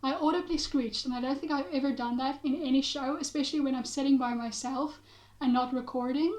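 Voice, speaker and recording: Raspy, high-voiced young woman gushing. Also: brisk, a little mellow. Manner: gushing, chatty, with many fillers. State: hoarse from being sick. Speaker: show reviewer. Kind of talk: casual spoken review.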